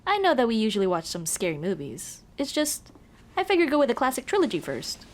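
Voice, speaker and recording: A woman's voice: a little high, huge pitch swings, fairly quick. A woman playing a young tomboy childhood friend, casual, teasing and caring, in a scripted ASMR roleplay. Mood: playful. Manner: casual, teasing, addresses listener as dude. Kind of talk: ASMR roleplay, scripted one-sided conversation.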